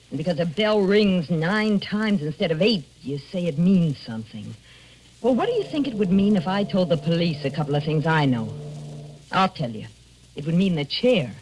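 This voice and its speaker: A woman's voice: lowish voice, big pitch swings, normal speed, darkish tone, poor audio. A tough, scornful bullying wife in a 1940s radio thriller. She speaks curtly and commandingly, on an old recording with faint noise. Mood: scornful, threatening. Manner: curt, scornful, tough-talking. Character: hard, bullying, scheming wife of a diver.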